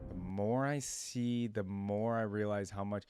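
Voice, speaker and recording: A man's voice: fairly low pitch, fairly even intonation, measured pace, over music. A man hosting a reaction video, thinking aloud in casual commentary and repeating lines back to himself.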